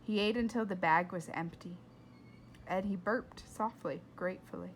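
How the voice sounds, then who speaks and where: A woman reading a children's book aloud with expressive, playful and dramatic narration. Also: lowish voice, moderate pace, a little hiss or hum. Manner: dramatic, playful delivery with whispers and asides. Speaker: read-aloud storyteller.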